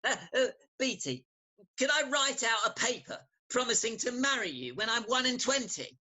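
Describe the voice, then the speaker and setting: A spoilt young English gentleman, acted out for a stage farce, hungover and lovesick. The voice is bright and sharp, with hesitant, stammering delivery. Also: mid-range voice, sing-song, moderate pace. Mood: sheepish. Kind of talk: theatrical farce reading.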